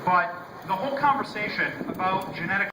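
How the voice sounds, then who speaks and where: An American man with a high, loud voice addresses an outdoor rally as an elected representative. His words come through echoey and from a distance, under very heavy noise. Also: lively intonation, fairly quick. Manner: loud-voiced, conversational with hesitations at first. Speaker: state representative giving a speech. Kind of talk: public speech at an outdoor rally, from prepared remarks. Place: outdoors at a rainy-day rally. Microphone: public-address microphone, recorded from a distance.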